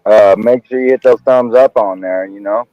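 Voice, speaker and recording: A man's voice: fairly low pitch, normal speed, no room sound, dull audio, soft background music. A man hosting a casual livestream chat, talking in a laid-back way and trailing off, recorded on a phone. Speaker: host.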